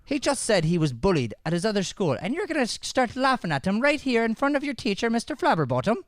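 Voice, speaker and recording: A man's voice: sits high, very animated, fast, dry recording, studio quality. A man playing a stern, pompous but forgiving schoolteacher with an Irish accent, scolding emphatically in improvised radio comedy.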